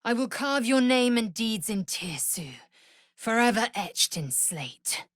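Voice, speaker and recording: A woman voicing a fierce, proud young githyanki warrior in a video game, blunt and disdainful, with clipped, formal, archaic phrasing and a husky, breathy voice. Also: a little high, big pitch swings, slow pace, no echo, clean studio sound. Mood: solemn resolve. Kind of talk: video game character voice lines.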